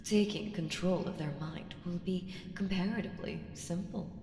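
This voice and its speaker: A woman's voice: on the low side, steady tone, normal speed, vocal fry, dark tone. A woman voicing an ancient evil entity in an ASMR roleplay, seductive, sly and taunting, with ominous teasing and whispered phrases. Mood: instructing, foreboding. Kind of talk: ASMR roleplay audio drama. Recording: reverberant hall effect, faint noise.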